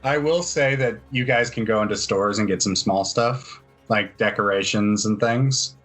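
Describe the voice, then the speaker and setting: A man's voice: fairly low pitch, moderate pace, a bit bright, faint music behind. A man acting as game master, casually laying out rulings and questions in a matter-of-fact way.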